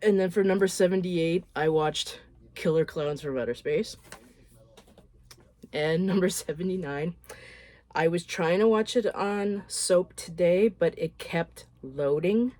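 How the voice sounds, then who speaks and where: A woman with a voice roughened by a cold, chatting frankly and loosely as she runs through a list of films. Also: fairly low pitch, measured pace, warm tone, no echo. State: hoarse from a cold. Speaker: horror film vlogger. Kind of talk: casual movie recap.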